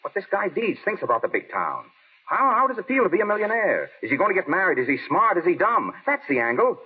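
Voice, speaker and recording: An actor playing a gruff, pushy big-city newspaper editor with a husky voice in old radio style. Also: male voice, high-pitched, huge pitch swings, fast talker, slight background noise. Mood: urgent, pushy. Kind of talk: 1930s-40s radio drama acting. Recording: muffled old radio broadcast.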